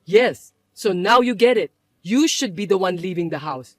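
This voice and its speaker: A woman voicing a calm adult son and husband who explains things to his mother in a firm, patient way. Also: medium pitch, moderate pace, no echo, natural voice. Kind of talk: dramatized story voice acting.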